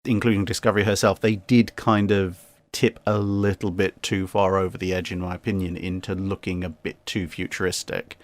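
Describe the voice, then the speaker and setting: Low-pitched man in casual, analytical discussion. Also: flat delivery. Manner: measured, analytical, opinionated. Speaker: guest commentator.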